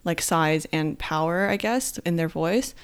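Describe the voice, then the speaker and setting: Smooth low-voiced young woman co-host. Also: unhurried. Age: young adult. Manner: relaxed, reflective, uses many fillers. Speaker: podcast co-host. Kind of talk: casual chat.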